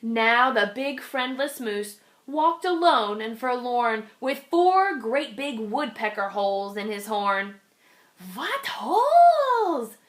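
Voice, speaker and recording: Lady doing a kids read-aloud, rhyming verse, high voice, super slow. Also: fairly bright tone. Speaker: storybook reader.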